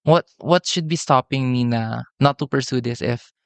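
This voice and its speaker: A man with a Filipino accent telling his story as an interview guest in casual, halting speech full of filler phrases. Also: medium pitch, no echo. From Philippines. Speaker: startup founder, interview guest. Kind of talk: casual conversational interview, code-switching.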